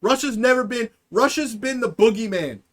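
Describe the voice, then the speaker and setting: A man's voice: very high-pitched, expressive, normal speed, a bit bright. A man co-hosting a live stream show, talking bluntly and crudely with jokes thrown in. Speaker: podcast co-host. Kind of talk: casual livestream banter.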